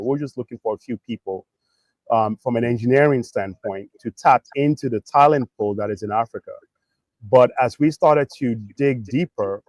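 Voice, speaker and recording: A middle-aged man from Nigeria talks conversationally as an interview guest. His voice sits at an average pitch in a dry recording. He is reflective, often pausing or restarting partway through a phrase. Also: a little mellow, no room sound, low-quality recording. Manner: halting, thoughtful, pausing mid-phrase. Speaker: interview guest, tech executive.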